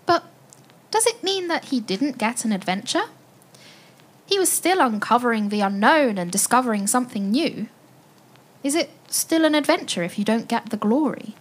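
A woman narrating a children's audio play as a storyteller, expressive and full of wonder, addressing listeners directly. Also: a little high, moderate pace. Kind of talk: dramatic scripted children's storytelling. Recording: loud music and effects behind.

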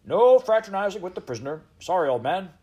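A man voicing a brisk, apologetic English royal guardsman in a storybook, clipped, chummy and officious. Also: high-pitched, sing-song, warm tone, pitched above the performer's own voice. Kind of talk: storybook character voice.

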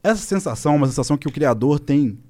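Fast-talking man telling stories. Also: a little high. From Minas Gerais, Brazil. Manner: animated storytelling, frequent filler words. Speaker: podcast guest, esports coach. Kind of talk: casual podcast chat. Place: podcast studio.

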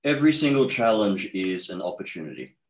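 A man's voice: low voice, big pitch swings, brisk, reverberant. A man in his thirties with an Australian accent, a guest in a podcast interview, talking in an earnest, upbeat way with many fillers and restarts. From Australia, born in Egypt. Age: 30s.

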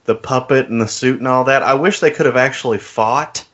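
Male co-host, medium pitch, conversational film talk, recorded a bit muffled and lo-fi. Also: brisk, a bit bright. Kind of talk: casual film discussion.

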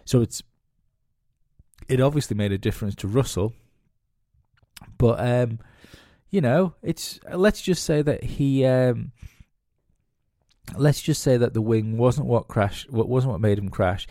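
A man's voice: medium pitch, measured pace, long pauses, dark tone, no room sound, studio quality. A man hosting a solo podcast, talking casually with lots of fillers and restarts, nasal and sniffly from a cold. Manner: rambling, many fillers and restarts. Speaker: podcast host.

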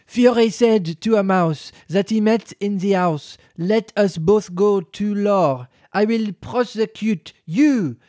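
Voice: medium pitch, slow, hardly any pauses, warm tone, no echo, studio quality, pitched above the performer's own voice, close to an everyday voice. A reader voicing an easily offended mouse in a storybook reading, severe and indignant. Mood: reciting.

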